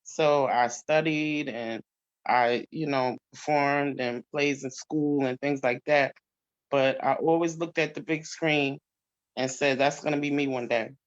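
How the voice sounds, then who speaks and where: A woman's voice: very deep voice, fairly even intonation, unhurried. A woman from New York, an actress, answering interview questions casually. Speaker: stage actress being interviewed. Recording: dull, lo-fi audio.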